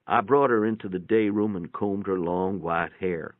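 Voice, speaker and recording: A man's voice: low-pitched, moderate pace, no room sound, phone-quality sound. A man giving a folksy, plainspoken dramatic reading of a first-person story, with a radio-like sound. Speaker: narrator, reader. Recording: band-limited, radio-like sound.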